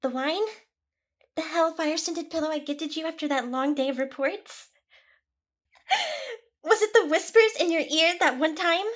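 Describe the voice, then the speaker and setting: A woman's voice: extremely high pitch, animated, measured pace, long pauses. A woman playing a smug, seductive, possessive demon boss in an ASMR roleplay, with a teasing, theatrical delivery.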